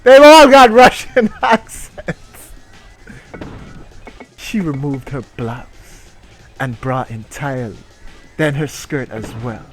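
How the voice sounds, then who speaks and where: Slow-paced man reading comically over music. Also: medium pitch, expressive. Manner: crude, sweary, irreverent. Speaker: reader and comedic streamer. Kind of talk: mock-dramatic story reading with comic asides.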